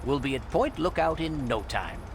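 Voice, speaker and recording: An actor playing a salty, roguish ferryboat captain in a video game, a middle-aged man with a gravelly voice and a folksy, sly salesman's patter. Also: mid-range voice, sing-song, normal speed, music in the background. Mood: inviting. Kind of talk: video game character dialogue.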